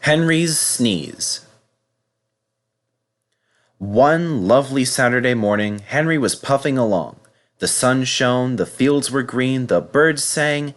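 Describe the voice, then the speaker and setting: A man reading a children's story aloud in an animated, scripted narration style. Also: medium pitch, slow. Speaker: storybook reader.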